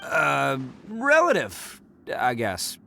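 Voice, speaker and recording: A brash, cocky oni brawler. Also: male voice, a little high, wildly swooping pitch, drawn-out words, gravelly, bright, forceful tone, a little hiss or hum, exaggerated voice. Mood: boastful. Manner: boisterous, laughs a lot, cocky bravado. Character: brash, boastful young male oni gang boss with a big heart. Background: music.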